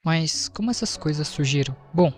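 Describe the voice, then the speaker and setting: A man's voice: fairly high pitch, lively intonation, normal speed. Brazilian man narrating over faint music. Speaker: video narrator. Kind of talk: scripted explainer narration with casual asides. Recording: music behind the voice. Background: background music.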